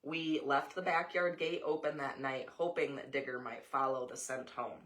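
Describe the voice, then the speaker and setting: A woman's voice: very deep voice, fairly even intonation, normal speed, a bit bright. An American woman reading a story aloud, with casual asides along the way. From Montana, USA.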